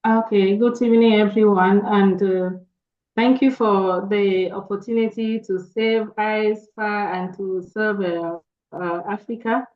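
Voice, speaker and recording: A woman who is the board secretary gives a formal acceptance speech over a call, in a measured way. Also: medium pitch, darkish tone. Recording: dull lo-fi call audio.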